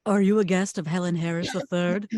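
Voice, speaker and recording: A woman's voice: fairly low pitch, fairly even intonation, brisk, darkish tone, no echo, studio quality, close to an everyday voice. A woman playing a formal, polite butler greeting guests at a comedy table read.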